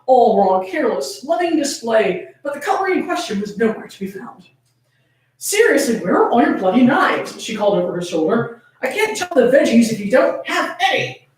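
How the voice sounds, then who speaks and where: Echoey, distant woman with a higher-pitched voice. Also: sing-song, a little fast. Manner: playful, sweary, teasing. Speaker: host reading fan fiction aloud to chat. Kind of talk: casual banter and dramatic fanfic reading. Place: indoors, echoey room, camera on tripod. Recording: very echoey room, distant from mic.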